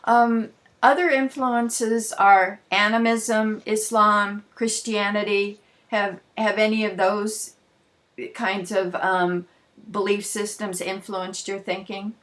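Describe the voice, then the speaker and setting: A woman interviewer asks curious, probing questions in a conversational tone. Her voice sits at a middle pitch, and she sometimes stumbles and restarts a phrase. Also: level tone, slow, fairly bright tone.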